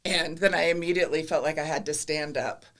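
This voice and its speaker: A woman's voice: low voice, a little fast, a loud crowd. A middle-aged woman with an American accent, answering interview questions in a candid, reflective way with light self-deprecating humor. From Midwestern United States (Minnesota, Iowa). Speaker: podcast guest, government commissioner. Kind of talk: conversational interview.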